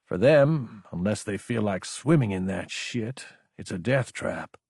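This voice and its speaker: A man voicing a calm, analytical army lieutenant in an audiobook, with clipped, matter-of-fact military speech. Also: fairly low pitch, a little slow, gravelly, breathy edge, no echo, clean studio sound, full character voice. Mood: calm, businesslike.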